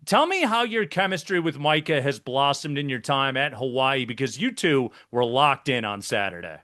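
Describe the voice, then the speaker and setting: A man's voice: mid-range voice, animated, moderate pace, bright, forceful tone. A man hosting a sports interview show, with a polished, upbeat broadcaster delivery. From mainland United States.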